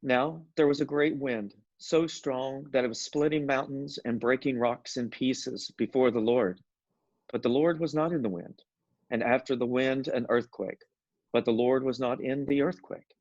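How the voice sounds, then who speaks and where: A man's voice: mid-range voice, normal speed, darkish tone, no room sound, dull audio. A man narrating a scripture story as a scripted reading.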